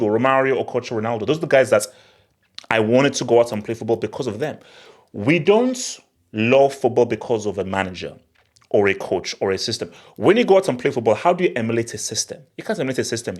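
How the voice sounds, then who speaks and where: A man hosting a football channel, talking to the audience in an emphatic, theatrical way and repeating phrases for effect. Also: mid-range voice. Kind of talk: animated solo football commentary to camera.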